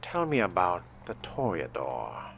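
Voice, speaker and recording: Very deep, deliberate man role-playing. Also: wildly swooping pitch, gravelly, a bit bright, slight background noise. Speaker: player of a role-playing game, voicing his character. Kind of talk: in-character role-play dialogue.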